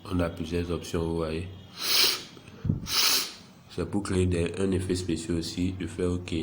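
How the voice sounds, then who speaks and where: Man with a head cold, low and monotone, guiding viewers step by step; faint noise floor. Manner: unhurried, self-deprecating, narrates each click. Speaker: software tutorial presenter. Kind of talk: screen-recorded app tutorial.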